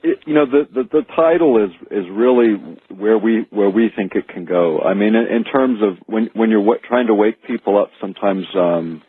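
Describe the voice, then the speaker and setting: Deep husky American man, phone-like radio sound. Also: moderate pace, hardly any pauses, no room sound. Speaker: author and researcher guest. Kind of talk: radio interview, explaining at length. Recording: narrow phone-like archive radio audio.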